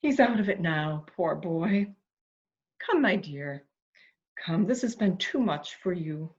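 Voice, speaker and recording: A woman's voice: lowish voice, expressive, slow, a little mellow, highs cut off. A woman playing a resigned, consoling member of a household in an amateur mystery play. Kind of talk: amateur murder mystery radio play acting.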